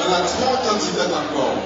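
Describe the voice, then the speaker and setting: A man announcing pageant results to the hall, slow and drawn-out. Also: sits high, highs cut off. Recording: distant echoey PA in a loud hall.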